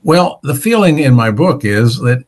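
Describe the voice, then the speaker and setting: An older man answering interview questions as a guest author, in a measured, plain-spoken, slightly hesitant way. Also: mid-range voice, sing-song, darkish tone.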